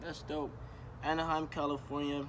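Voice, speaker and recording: A man's voice: fairly high pitch, level tone, normal speed. A man interviewing a guest in a casual, friendly way, full of slang like dope and bro. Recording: outdoor traffic noise.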